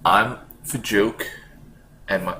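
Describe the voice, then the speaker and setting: A man's voice: fairly low pitch, takes it slowly, bright, forceful tone. A man hosting a podcast in a casual, conversational style. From Canada. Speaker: podcast host. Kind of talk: casual chat. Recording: slight background noise.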